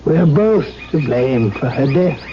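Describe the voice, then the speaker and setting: An actor playing a grave, sorrowful old alchemist, solemn and deliberate, in a 1970s radio drama. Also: male voice, on the high side, animated. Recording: dull, hissy 1970s radio broadcast.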